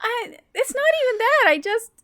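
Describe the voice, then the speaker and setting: A woman's voice: very high voice, lively intonation, moderate pace, fairly bright tone, no room sound, studio quality. A woman chatting casually and expressively as a player on a tabletop game podcast. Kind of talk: casual chat.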